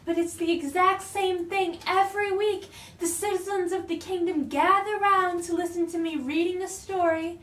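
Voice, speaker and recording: Woman playing a high-voiced, haughty young queen. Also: steady tone, normal speed, few pauses, a bit bright. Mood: petulant. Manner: haughty, breezy, dismissive. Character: spoiled, bored young queen, breezily bossy and vain. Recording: echoey room, distant mic, noisy.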